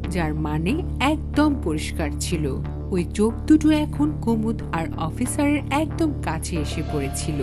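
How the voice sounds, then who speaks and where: A woman narrating a scripted horror story in a suspenseful, theatrical manner. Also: high voice. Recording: background music under the voice.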